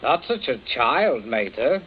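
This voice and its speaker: Flippant young son, animated. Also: male voice, mid-range voice, a little slow, slightly rough. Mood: mocking. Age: young adult. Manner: drawling, mocking, unserious. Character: flippant, sneering grown son of the family. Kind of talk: old radio drama acting. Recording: lo-fi, noisy old radio recording.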